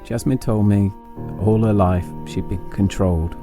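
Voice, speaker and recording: Low-voiced English man narrating over music. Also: fairly even intonation. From England. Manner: reflective, deliberate phrasing in short lines. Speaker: podcast host and narrator. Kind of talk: autobiographical storytelling, scripted narration. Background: instrumental music.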